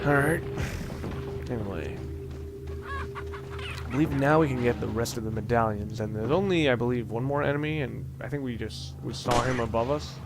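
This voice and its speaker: A man giving casual, halting gameplay commentary as a let's play host, rambling and thinking aloud as he plays. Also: mid-range voice, music in the background.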